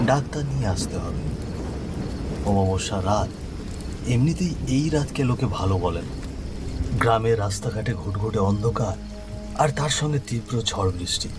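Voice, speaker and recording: A man's voice: on the low side, noisy background. A man narrating a scripted horror story in a dramatic, suspenseful manner.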